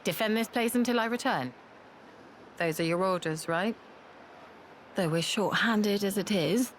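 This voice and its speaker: A woman playing a gruff, dutiful military commander in a video game cutscene, talking bluntly and with authority. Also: mid-range voice, unhurried, a little hiss or hum, natural voice. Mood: blunt, stern.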